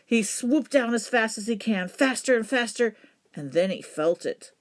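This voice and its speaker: A woman reading a children's folktale as a storyteller, with dramatic, deliberate emphasis. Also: on the high side, expressive. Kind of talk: expressive children's folktale narration.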